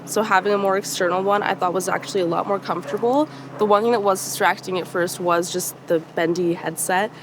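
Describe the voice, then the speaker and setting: A woman's voice: mid-range voice, fairly even intonation, fairly quick, hardly any pauses, noisy background. A woman from a film crew giving a casual interview testimonial.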